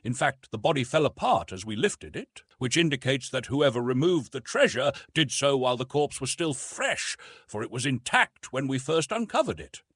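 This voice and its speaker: A man voicing an earnest young English gentleman in an audiobook, proper and emphatic in manner. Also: mid-range voice, big pitch swings, normal speed, hardly any pauses, slightly rough, no echo, studio quality, pitched above the performer's own voice. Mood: reasoning, excited. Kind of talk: dramatised audiobook reading.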